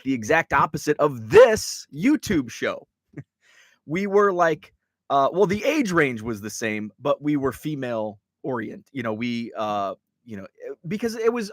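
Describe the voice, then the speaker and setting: A man's voice: medium pitch, a bit bright, dry recording, clean studio sound. A middle-aged man hosting a card-collecting show in casual live chat. He sounds tired and a bit congested, and he rambles with lots of restarts and asides. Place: card room.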